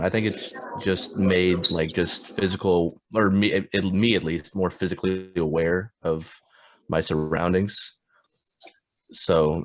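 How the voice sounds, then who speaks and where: A man in his twenties on a video call, thinking aloud in halting sentences full of likes. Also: low-pitched. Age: 20s. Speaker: young adult panelist. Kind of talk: casual chat.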